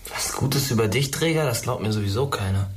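A man's voice: mid-range voice, fairly quick, slight background noise, close to an everyday voice. A man playing a sarcastic, jumpy teenage schoolboy in a radio drama, mocking and stammering under stress. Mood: dry. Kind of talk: naturalistic radio drama acting.

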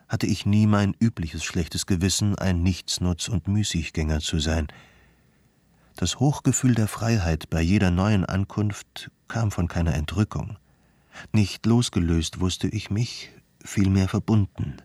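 An actor playing a reflective, solitary writer, narrating a literary radio play monologue in a measured, contemplative way. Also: male voice, low voice, darkish tone, no echo. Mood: quietly elated, reflective. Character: reflective solitary male writer wandering and remembering.

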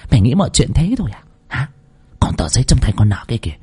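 A man voicing a scheming young concubine who whines and scolds in a shrill, bossy way. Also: expressive, brisk. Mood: scornful.

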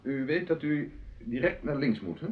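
A man's voice: mid-range voice, expressive, normal speed, natural voice. An actor playing an ordinary, polite man in a radio drama. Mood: helpful. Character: ordinary man politely giving road directions. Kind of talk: Dutch radio drama acting. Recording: muffled lo-fi recording with faint noise.

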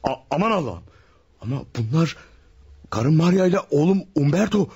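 A stammering, panicked husband. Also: male voice, on the high side, animated, normal speed, mellow, slightly rough, muffled recording, heavily acted. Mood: alarmed. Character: terrified husband who faints at ghostly photos. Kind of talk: radio play acting.

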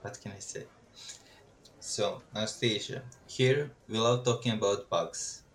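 A man hosting a casual podcast, asking questions and making dry, deadpan jokes. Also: on the low side, measured pace. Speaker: podcast host.

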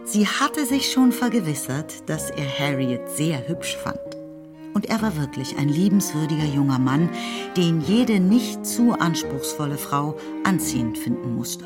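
A woman's voice: low-pitched, expressive, unhurried. A woman narrator reading literary scripted narration for a radio play. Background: instrumental music.

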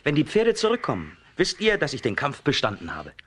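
A man's voice: a little high, wildly swooping pitch, fairly quick, slightly rough, heavily acted. A man playing a bold, defiant young fairy-tale hero in a children's radio play, speaking with earnest determination. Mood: resolute.

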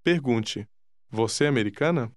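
Calm male language-course narrator. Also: mid-range voice, no room sound, studio quality. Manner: calm, deliberate prompting. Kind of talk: scripted instruction.

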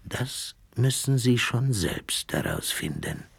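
Husky, sly Russian spy. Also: male voice, medium pitch, big pitch swings, takes it slowly, dry recording, heavily acted. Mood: evasive. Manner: evasive, dismissive, cunning. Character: fat Russian newspaper correspondent and spy, sly and scheming. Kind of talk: German radio drama acting.

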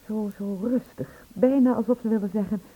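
A woman's voice: medium pitch, fairly even intonation, normal speed, husky, lightly acted. An actress playing an anxious woman in a radio play, speaking in a hesitant, confiding way. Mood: confiding, uneasy. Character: anxious, confiding woman, shaken witness to a murder. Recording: tinny, narrow-band old radio recording.

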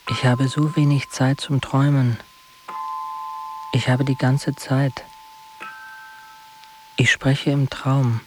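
An actor playing a gentle, weary dreamer who talks solemnly and with measured wonder in a poetic radio play. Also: male voice, medium pitch, little pitch movement, close to an everyday voice. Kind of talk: poetic radio drama dialogue. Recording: clearly hissy background.

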